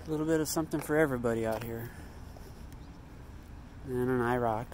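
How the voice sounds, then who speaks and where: A man's voice: mid-range voice, fairly quick, a little mellow, slight background noise, rough recording. A man casually talking through what he sees as he walks around, thinking aloud and correcting himself, recorded outdoors on a handheld phone. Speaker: vlogger. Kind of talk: casual walkaround commentary. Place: outdoor parking lot.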